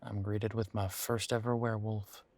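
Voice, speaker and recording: A man playing a bitter, wry young werewolf in an audio roleplay, talking haltingly and sardonically as if in intimate one-sided conversation. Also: fairly low pitch, flat delivery, darkish tone. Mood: rueful, bitter. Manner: halting, sardonic, conversational with sighs and repeated words.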